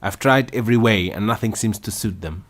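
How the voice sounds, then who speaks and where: A man's voice: fairly low pitch, a little slow, fairly bright tone, voice pushed up. A man voicing a fretful, shrieking female pigeon who guards her eggs, agitated and stumbling over repeated lines in an amateur storybook reading. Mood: plaintive.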